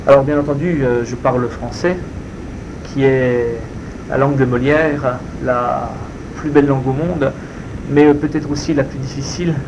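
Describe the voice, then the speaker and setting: A man whose first language is Spanish, answering interview questions in a deliberate, hesitant way with many fillers. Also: medium pitch, muffled recording. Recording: steady background noise.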